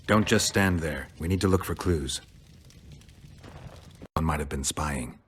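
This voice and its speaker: An actor playing a gruff, stoic monster hunter in a video game, with a gravelly voice and terse, flat delivery. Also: male voice, very deep voice, unhurried, a little hiss or hum. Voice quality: gravelly, husky.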